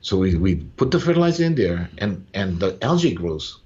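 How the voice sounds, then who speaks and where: An older man, telling stories unhurriedly as a podcast guest, pausing on "uh" and tagging lines with "yeah?". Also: sits low, sing-song, darkish tone. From Hawaii. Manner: unhurried, with frequent "uh" pauses and "yeah?" tags. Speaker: guest, farmer and business owner. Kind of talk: relaxed storytelling in conversation. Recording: dull lo-fi sound.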